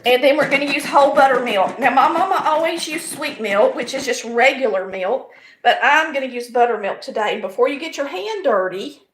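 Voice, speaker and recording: A middle-aged woman with a raspy, hoarse voice and a Southern US accent, chatting folksily as she demonstrates a recipe step by step in her home kitchen. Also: sits high, fairly bright tone, a little hiss or hum. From American South. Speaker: home cooking show host. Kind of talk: casual cooking demonstration.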